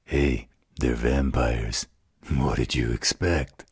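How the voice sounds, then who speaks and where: A man voicing a menacing, protective mate in an audio roleplay, with a rough, husky voice and a blunt manner. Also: very deep voice, fairly even intonation, slow, no echo, studio quality, full character voice. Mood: gruff.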